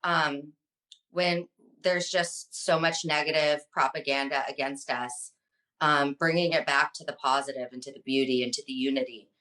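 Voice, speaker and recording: Low-voiced passionate woman guest. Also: steady tone, moderate pace, sharp tone, poor audio. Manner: passionate, fluent, many ums. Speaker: guest, social media advocate. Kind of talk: earnest interview answers.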